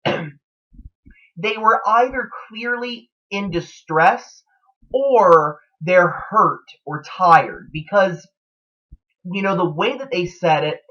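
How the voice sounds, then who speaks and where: A man giving casual, unscripted fan commentary, thinking aloud in a hesitant, halting way. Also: a little high, fairly bright tone. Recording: dull lo-fi sound.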